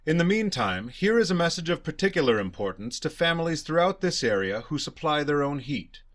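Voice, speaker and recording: A man's voice: fairly high pitch, sing-song, brisk, a bit bright. A man announcing in the style of a 1930s radio advertisement, with a formal, emphatic sales delivery.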